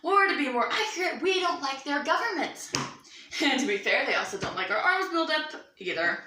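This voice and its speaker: A woman's voice: high voice, very animated, a little fast. A woman reading a mock 1950s news bulletin as anchor, theatrical and sarcastic, recorded in a roomy space away from the mic. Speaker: news anchor in a student-style mock newscast. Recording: roomy echo, distant mic, faint noise.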